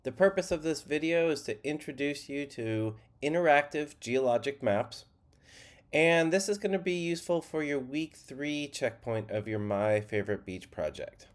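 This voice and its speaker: A man teaching a college geology lesson over a screen recording. He has a stuffy nose and sounds a little nasal, and he explains things in a relaxed, plainspoken way. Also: fairly high pitch, normal speed. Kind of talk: screen-share lecture.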